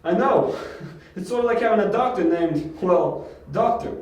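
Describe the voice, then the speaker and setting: A man's voice: sits high, animated, normal speed, darkish tone. A man acting a dim-witted, self-satisfied, obedient thug in an amateur audio drama. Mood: dim, pleased. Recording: very echoey, distant from mic.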